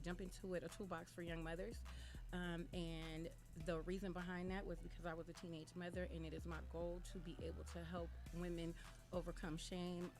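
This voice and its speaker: Lower-pitched woman over clear music. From Los Angeles. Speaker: guest author. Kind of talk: casual panel introduction.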